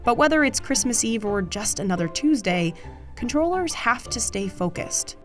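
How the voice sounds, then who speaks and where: A woman's voice: fairly high pitch, expressive, moderate pace, faint music behind. An American woman reading scripted documentary narration as the narrator of a news feature.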